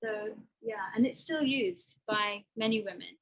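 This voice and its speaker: A woman's voice: medium pitch, a little slow, a bit bright, rough recording. A woman artist talking through a slideshow of her work, hesitant and thoughtful, with many restarts. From Botswana. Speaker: artist giving a talk. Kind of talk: presenting a slideshow talk.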